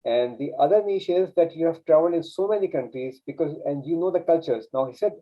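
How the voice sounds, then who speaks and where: A man's voice: on the high side, expressive, fast. A man whose first language is Urdu, a guest coach telling a story in an earnest, casual way with many restarts. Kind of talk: casual interview chat. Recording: dull lo-fi sound.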